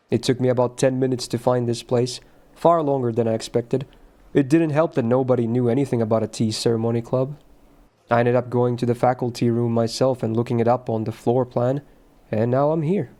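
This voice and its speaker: A man reading the lines of a quiet, withdrawn teenage schoolboy from a visual novel in a calm, matter-of-fact way. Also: mid-range voice, normal speed, close to an everyday voice. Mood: thoughtful.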